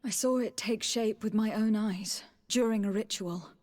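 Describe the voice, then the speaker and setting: A woman's voice: medium pitch, moderate pace, a little mellow, lightly acted. An actress playing a loyal, composed noblewoman diplomat in a video game, speaking formally and sincerely. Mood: earnest. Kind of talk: dramatic video game acting. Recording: long hall-like reverb.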